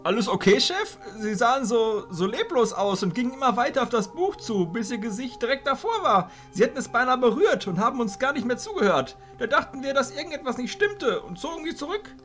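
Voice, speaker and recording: A man's voice: very high voice, sing-song, brisk, few pauses, fairly bright tone, faint music behind, full character voice. An actor playing a timid, eager henchman, hesitant and naive, deferring to his boss. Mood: worried. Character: timid, eager male henchman of a shadow master.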